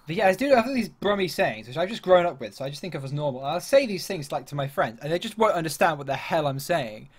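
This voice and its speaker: A man's voice: a little high, expressive, fast, few pauses, breathy edge. A young man from England chatting casually on a livestream, talking in a rambling, easygoing way and laughing easily. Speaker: streamer.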